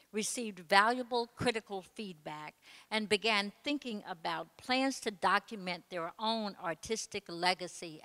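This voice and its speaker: A woman's voice: medium pitch, a bit bright. Woman reading a formal intro, echoey gallery. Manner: formal, measured, list-like delivery. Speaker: panel co-moderator. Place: art gallery, indoors. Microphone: handheld mic. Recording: reverberant gallery room.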